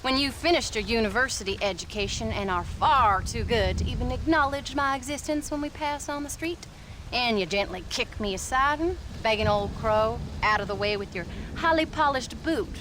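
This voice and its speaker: An actress playing a sharp-tongued American frontier wife and mother, sarcastic and mock-sweet. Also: a little high, moderate pace, background noise, natural voice. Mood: mock-hurt, sarcastic. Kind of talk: video game cutscene acting.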